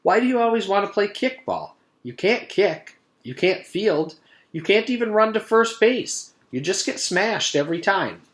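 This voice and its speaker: A man's voice: high-pitched, very animated, moderate pace, fairly bright tone, voice pushed up. A man voicing a fair-minded schoolyard playmate with a firm, plain-spoken manner in a children's storybook. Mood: exasperated. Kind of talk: children's storybook read-aloud.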